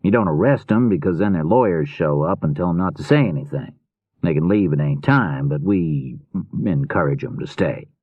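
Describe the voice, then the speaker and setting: A man as a low-voiced, easygoing police detective. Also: animated, moderate pace, breathy edge, dry recording, phone-quality sound, exaggerated voice. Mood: matter-of-fact. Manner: matter-of-fact, explanatory. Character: easygoing, patient middle-aged male police detective and father. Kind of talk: audiobook character dialogue.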